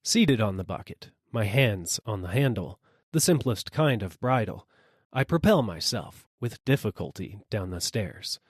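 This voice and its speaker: A man's voice: on the low side, lively intonation, unhurried, no echo, studio quality. A man reading a literary story as a dramatic audiobook narrator.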